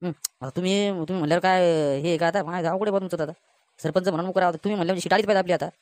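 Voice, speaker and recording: A woman voicing a brisk, insistent male village Patil in a comic cartoon, with a folksy Varhadi Marathi accent. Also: on the low side, steady tone, darkish tone, no room sound, natural voice. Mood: brisk, reassuring. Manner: insistent, folksy, dismissive of worries.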